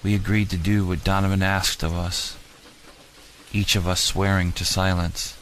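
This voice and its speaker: A man with a low voice narrates a dark first-person story. His delivery is flat in pitch yet somber and melodramatic, like a scripted confession. Faint noise shows it is an amateur home recording. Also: moderate pace, poor audio. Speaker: audiobook narrator.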